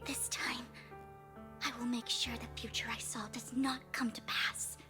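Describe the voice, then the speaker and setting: An actress playing a gentle, sorrowful but resolute young woman in a dramatic game cutscene, soft, earnest and formal. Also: on the high side, flat delivery, a little slow, whispered, over music, lightly acted. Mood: sorrowful resolve.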